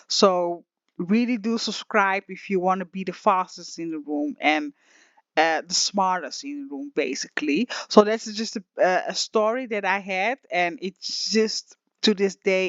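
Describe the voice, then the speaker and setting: A woman with a slightly raspy voice talks slowly through a casual software lesson, rambling and restarting often. The recording is dull and lo-fi, with a dry, close sound. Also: lowish voice, no room sound. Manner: rambling, emotional, many restarts and fillers. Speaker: online music software teacher. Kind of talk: casual screen-share tutorial.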